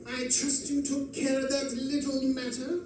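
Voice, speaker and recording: An actor playing a gleeful, erudite criminal mastermind in an old-time radio drama, florid and condescending in manner. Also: male voice, very high voice, monotone, a little slow, darkish tone, faint music behind. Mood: smooth, menacing. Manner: theatrical, condescending, chuckling, florid. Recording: distant, very echoey live stage recording, faint noise.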